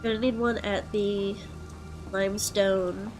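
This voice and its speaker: A young woman with a blocked, congested nose talks unhurriedly over her gameplay. She thinks aloud and fills her pauses with ums. Soft music plays faintly behind her. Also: mid-range voice, flat delivery, poor audio. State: congested with a head cold. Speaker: let's play host. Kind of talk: casual gameplay commentary.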